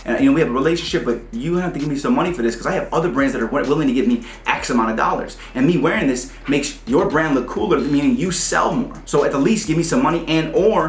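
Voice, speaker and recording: A man giving casual music business advice, fast and animated as he breaks down examples. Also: on the high side, roomy sound, slight background noise. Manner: fast, animated, pointing things out. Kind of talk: casual advice talk to the camera.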